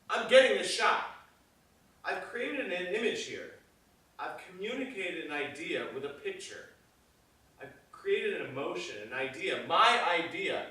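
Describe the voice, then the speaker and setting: A man's voice: sits high, sharp tone. An actor playing an obsessive, stubborn male film director, speaking in an emphatic, self-important, theatrical way. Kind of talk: theatrical dramatic dialogue. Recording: very echoey room, distant microphone.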